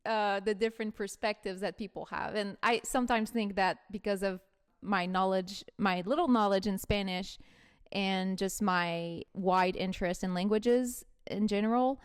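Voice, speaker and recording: A woman's voice: mid-range voice, fairly even intonation, normal speed, clean tone, no echo. Québécois woman hosting, close dry sound. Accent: Québécois French. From Quebec, Canada. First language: French. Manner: chatty, reflective. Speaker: podcast host. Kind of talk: conversational podcast interview.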